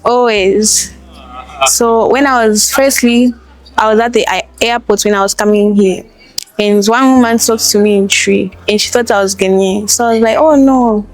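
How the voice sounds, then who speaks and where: High-voiced young Zambian woman. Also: poor audio. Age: young adult. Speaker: international student interviewee. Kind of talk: casual anecdote in interview.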